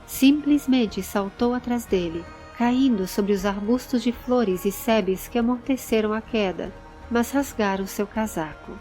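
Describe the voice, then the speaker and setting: A woman with a Brazilian accent giving measured, dramatic storytelling narration for a scripted audio drama. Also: a little high, dark tone. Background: background music.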